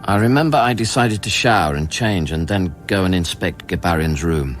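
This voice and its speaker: Radio drama lead: earnest, brooding space scientist, deep voice, deliberate teller, urgent questioner, light music bed. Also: male voice, animated. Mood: brooding, reflective. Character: earnest male scientist newly arrived on space station, recounting events. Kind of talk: radio drama acting with first-person narration. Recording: music behind the voice.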